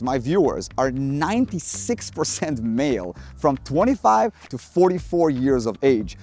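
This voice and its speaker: A man's voice: on the high side, darkish tone. A man from Serbia with a Serbian accent, Serbian his first language, talking wryly and self-deprecatingly as a photography vlogger. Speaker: photography YouTuber. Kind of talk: scripted vlog monologue. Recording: faint music underneath. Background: faint music.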